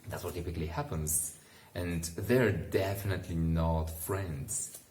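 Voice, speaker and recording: Male bedtime reader, very low and unhurried, informal and musing, recorded in a roomy, echoing space. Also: darkish tone, a little hiss or hum. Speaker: bedtime story reader and commentator. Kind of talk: relaxed read-aloud with casual asides to help listeners sleep.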